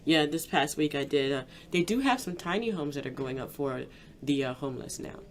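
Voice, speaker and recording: Friendly, affirming interview host with a stuffed-up nose, medium pitch. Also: animated, slight background noise. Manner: friendly, affirming, quick to laugh. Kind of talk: casual interview chat.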